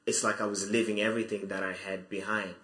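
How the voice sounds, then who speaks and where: A young man from Zimbabwe talks through his life story in a low voice with a bright, forceful edge. He is reflective and hesitant, often restarting his sentences. The room around him sounds echoey. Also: little pitch movement, fast talker. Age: 20s. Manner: thoughtful, hesitant with many restarts. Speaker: guest.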